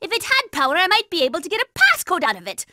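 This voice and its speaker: A woman's voice: very high-pitched, wildly swooping pitch, fast talker, noisy background, exaggerated voice. A woman voicing a bossy, hot-tempered young girl operative in a cartoon video game, brash and commanding. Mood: determined.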